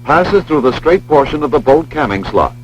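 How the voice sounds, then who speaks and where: American male training film narrator, lively intonation. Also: fairly high pitch. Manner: measured, precise, formal. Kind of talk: scripted instructional narration. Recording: dull lo-fi film soundtrack, humming noise.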